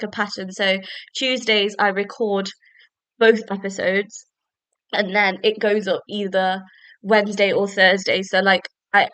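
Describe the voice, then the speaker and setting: A woman's voice: medium pitch, fairly bright tone, clean tone, dry recording, rough recording. A young woman hosting her own podcast, chatting and rambling with lots of fillers, a little bunged up from a cold. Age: young adult. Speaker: podcast host. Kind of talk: casual solo book-review podcast.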